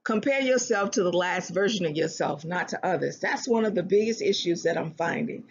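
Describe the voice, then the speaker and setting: Husky voice, earnest self-help speaker, repeats phrases, slows for emphasis, muffled recording. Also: medium pitch, expressive. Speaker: relationship advice speaker. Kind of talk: motivational self-help talk.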